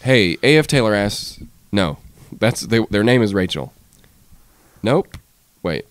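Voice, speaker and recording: A man's voice: on the low side, big pitch swings, slow, no room sound. A man co-hosting a podcast in loose, casual conversation. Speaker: podcast co-host. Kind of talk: casual chat.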